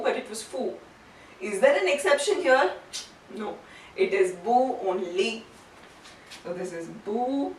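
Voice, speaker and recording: High-pitched, lively woman teaching, echoey room. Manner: didactic, asks questions and answers them herself. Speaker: Japanese language teacher. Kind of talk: instructional lesson, explaining and drilling sounds. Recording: roomy echo, distant mic, faint noise.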